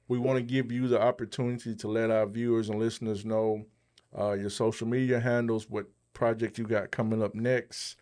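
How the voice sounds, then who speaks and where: A man hosting a podcast in a casual, measured and reassuring way, with pauses as he goes. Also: on the low side, a little mellow, clean tone. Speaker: podcast host.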